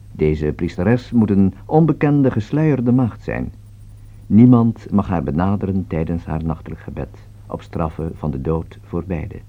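A man with a Netherlands Dutch accent reads a formal scripted opera introduction as a radio announcer, in measured, careful enunciation. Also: lowish voice. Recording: dull, band-limited old radio recording.

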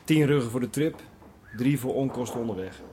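An actor playing a calm, matter-of-fact male smuggler and truck driver in a radio drama. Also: mid-range voice, a little fast, background noise, close to an everyday voice. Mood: businesslike.

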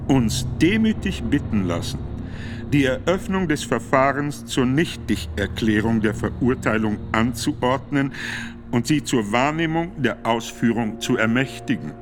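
An actor playing a solemn, authoritative medieval pope who formally declaims a decree in a measured, emphatic way for a radio play. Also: male voice, mid-range voice, animated, noisy background, lightly acted. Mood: grave, measured. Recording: studio radio drama with music behind.

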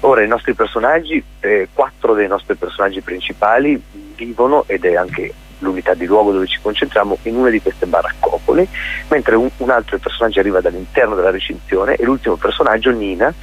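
A male theatre director and playwright being interviewed on radio, explaining his production in articulate lists with hesitant pauses. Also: on the low side, slightly rough, lo-fi sound.

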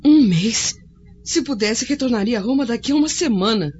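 An actress playing a gentle, devoted Roman wife in a radio drama, speaking softly from the heart. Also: female voice, on the high side, expressive, moderate pace, a little hiss or hum, natural voice. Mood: tender. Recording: dull lo-fi audio with faint score.

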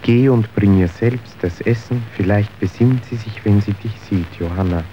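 An actor playing a calculating factory middleman in a radio drama, speaking in a measured, deliberate way. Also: fairly low pitch, natural voice. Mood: coolly commanding. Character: calculating male factory middleman, coolly testing people. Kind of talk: scripted radio drama acting. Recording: dull, faintly hissy old radio recording.

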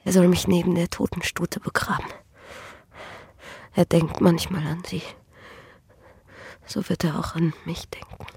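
Radio drama, dying young peasant woman: very husky and breathy with creaky edges, weak, halting, bitter with sorrow. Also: on the low side, little pitch movement, darkish tone, no echo, heavily acted. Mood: bitter, resigned. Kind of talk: German radio play acting.

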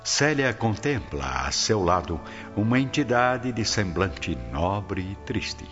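A man's voice: on the low side, expressive, takes it slowly. A man with a Brazilian accent, giving dramatic scripted narration for a radio drama. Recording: dull lo-fi audio under loud music. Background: loud music.

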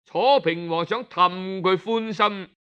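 A man with a Cantonese accent telling a story in a traditional oral style, colloquial and expressive, with drawn-out particles. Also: sits high, clean tone, muffled recording.

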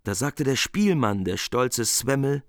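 A man's voice: mid-range voice, animated, a little slow, dry recording, clean studio sound. A man narrating a literary radio play from a script.

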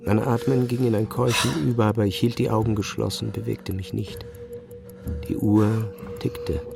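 A brooding man recounts things in a low, creaky voice over music. He is measured and restrained, turned inward, as in a literary audio drama. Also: little pitch movement. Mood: tense, restrained. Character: brooding physicist soberly recounting his uncanny night. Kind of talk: literary audio drama, past-tense first-person narration.

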